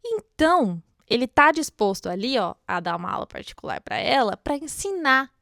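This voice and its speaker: A woman's voice: fairly high pitch, sing-song, normal speed, vocal fry, a bit bright, no echo, studio quality. A Brazilian woman hosting a book read-along, commenting casually and expressively like a chatty, gushing fan.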